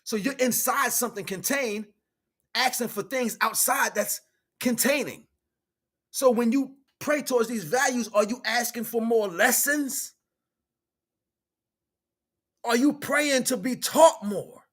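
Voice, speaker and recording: A man's voice: very high-pitched, a little slow, fairly bright tone. An American man giving an improvised, slangy spiritual talk, repeating phrases for emphasis and acting out bits of dialogue. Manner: slangy, conversational, acts out imagined dialogue. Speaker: spiritual teacher and livestream host. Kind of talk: improvised spiritual talk, casual street preaching.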